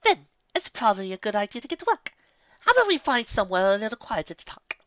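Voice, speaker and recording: A woman's voice: on the high side, big pitch swings, fast, a bit bright, dull audio, pitched above the performer's own voice. A woman voicing a dignified, composed female unicorn with refined manners in a storybook reading. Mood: brisk.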